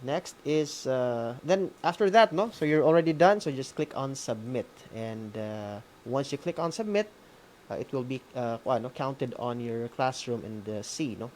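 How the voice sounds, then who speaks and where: A man's voice: medium pitch, lively intonation, normal speed, a little mellow, slight background noise. A man with a Filipino accent giving an informal, hesitant instructional walkthrough, often checking in with a tag question. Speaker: instructor leading an online session.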